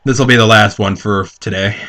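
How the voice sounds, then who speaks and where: A man's voice: lowish voice, fairly even intonation, normal speed, fairly bright tone. A man co-hosting a comedic reading, giving sarcastic, crude commentary in a casual conversational way. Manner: sarcastic, crude-humored, swearing.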